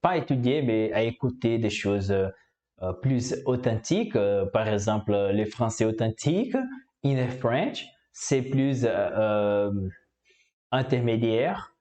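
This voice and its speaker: A man's voice: medium pitch, animated, slow, warm tone. A man from Brazil speaking French with a Brazilian Portuguese accent, explaining how he learned the language in a hesitant way with many fillers and repeated words. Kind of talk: casual explanatory talk.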